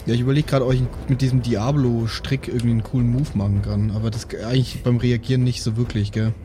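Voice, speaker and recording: A man's voice: medium pitch, little pitch movement, fast, noisy background. A man with a southern German or Austrian accent in casual table talk as a game player, thinking aloud and checking for agreement. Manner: thinking aloud, seeking agreement with tag questions. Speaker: player in a role-playing game podcast.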